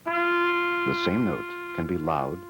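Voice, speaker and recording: A man narrating an educational film in a measured, explanatory manner, reading scripted short, simple sentences. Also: low-pitched, huge pitch swings. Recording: old VHS educational film soundtrack. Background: music.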